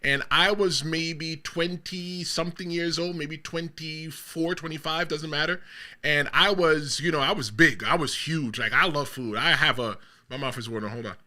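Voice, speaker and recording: A middle-aged man hosting a wrestling podcast, telling rambling stories in a casual chat and laughing loudly. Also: on the high side, normal speed. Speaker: podcast host.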